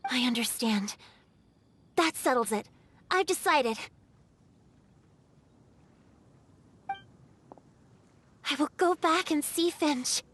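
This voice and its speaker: A woman playing a gentle, wistful water spirit in an anime game, speaking softly and earnestly. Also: high-pitched, lively intonation, unhurried, slight background noise. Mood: resolved. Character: gentle, wistful female water spirit, fragile but resolute.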